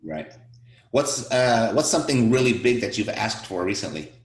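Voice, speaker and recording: A man's voice: mid-range voice, expressive, moderate pace. A man hosting an interview, asking conversational follow-up questions, with a roomy sound. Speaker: interviewer, host.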